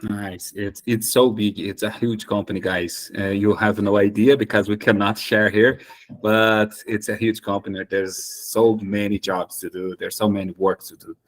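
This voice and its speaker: A man's voice: on the low side, flat delivery, normal speed. A man in his thirties with a Brazilian accent, hosting a casual conversational interview and often rephrasing himself. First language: Portuguese. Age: 30s. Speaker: host.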